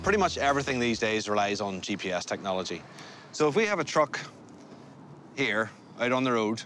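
A man's voice: lowish voice, fairly bright tone, a little hiss or hum. A man, presenting and explaining science in plain terms. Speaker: presenter and truck driver. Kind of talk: explaining science to camera and passenger.

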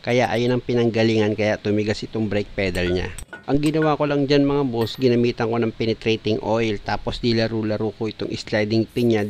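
A man's voice: lowish voice, fairly even intonation, a little fast, darkish tone, slight background noise. A man, a mechanic narrating a do-it-yourself brake repair and explaining each step to viewers. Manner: explanatory, calls viewers "mga boss". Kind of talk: DIY repair tutorial voice-over.

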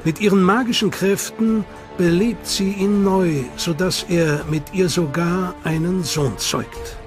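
A man reading a scripted documentary narration in a measured, solemn way. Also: high-pitched. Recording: narration over loud music.

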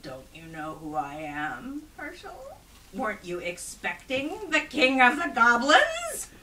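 Lady doing a big scary goblin king from a storybook, slow and pompous, taunting, swings from hushed to booming. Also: very animated, recorded from a distance, slight background noise, full character voice. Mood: menacing, taunting. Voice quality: cracking, bone-like, hushed then booming. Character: menacing goblin king, grand and terrible.

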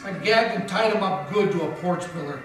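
A man's voice: sits high, brisk, fairly bright tone, faint music behind. A man narrating as a hard-boiled detective in a live 1940s-style radio play, in a wry first-person manner, before an audience in a historic mansion. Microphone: stand mic, filmed by a far camera. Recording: echoey room taped from far off.

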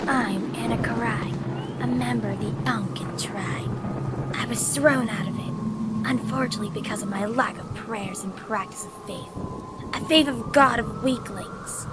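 Woman playing a slow, haughty cartoon cultist. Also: medium pitch, very noisy, natural voice. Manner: haughty, deliberate. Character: mysterious haughty female echidna cultist, coldly zealous. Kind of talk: scripted comic dub acting.

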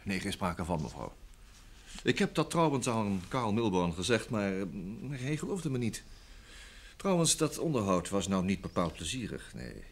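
A man's voice: on the low side, sing-song, moderate pace. A man acting a polite, busy secretary in an old Dutch radio drama, speaking in hesitant phrases with repeated words. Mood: explaining, uneasy. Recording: vintage radio drama recording.

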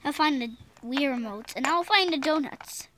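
A woman's voice: sits high, lively intonation, moderate pace, a bit bright, a little hiss or hum. A young girl voicing toy pets in a homemade skit on the floor, switching between a scolding mother and a whining child. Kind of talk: toy figure skit with many voices. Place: indoors at home, on a wooden floor. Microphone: phone camera held close to toys.

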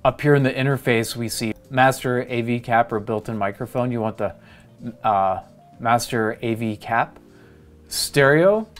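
A man's voice: medium pitch, unhurried, faint music behind. A middle-aged man hosting a how-to demonstration, explaining each step in a plain, methodical way. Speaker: tutorial host.

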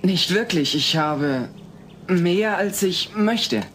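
A man's voice: high-pitched, expressive, slow, a bit bright, a little hiss or hum, heavily acted. An actor playing an evasive teenage boy from next door, hesitant and casual, in a sitcom audio play. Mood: cagey.

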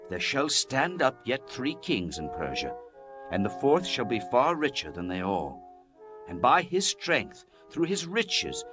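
A man narrating the Bible as a dramatized scripture reading, in a solemn, measured, declamatory manner. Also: on the low side, fairly bright tone. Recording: music bed under the voice. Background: background music.

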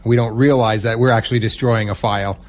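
Mid-pitched man hosting a radio interview. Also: steady tone, quick pace. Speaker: radio show host. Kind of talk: casual conversational interviewing.

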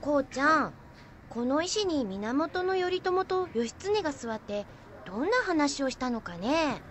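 Lady doing curious little schoolgirl, high lively voice, admiring, radio play. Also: a little hiss or hum. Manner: inquisitive, drawn-out musing. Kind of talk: amateur radio drama.

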